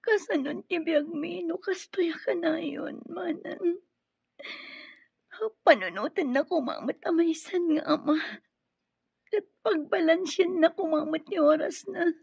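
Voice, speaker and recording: Distressed young mother, high creaky voice. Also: a little slow, a little mellow, no echo, dull audio, full character voice. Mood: hurt. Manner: pleading, distressed. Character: tearful young mother pleading after childbirth. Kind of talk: radio drama acting in Ilocano.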